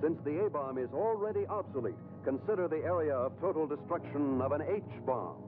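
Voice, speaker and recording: A man's voice: a little high, moderate pace, lightly acted. A man playing a grave American newsreel announcer of the 1950s, with a formal, dramatic delivery. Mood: grave, ominous. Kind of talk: 1950s newsreel scripted narration. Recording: tinny, band-limited old film soundtrack. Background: loud newsreel music.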